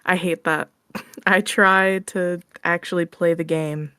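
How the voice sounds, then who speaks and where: A woman's voice: lowish voice, steady tone, slow, pushed and bright, clean tone, dry recording, clean studio sound. A woman chatting casually as an interview guest on an online call, candid and self-deprecating in how she talks. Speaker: interview guest, audio roleplay creator. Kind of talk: casual chat.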